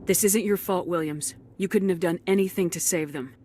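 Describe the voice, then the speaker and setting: A woman acting a steady, resolute military commander in a video game, composed and authoritative. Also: fairly low pitch, moderate pace, a little hiss or hum, lightly acted. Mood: calm, firm.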